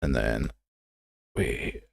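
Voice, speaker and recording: A man's voice: rumbling low, drawn-out words, creaky, no room sound. A man hosting a game development livestream, talking casually and thinking aloud as he works in a rambling, informal, self-deprecating way. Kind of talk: casual live tutorial, thinking aloud while working.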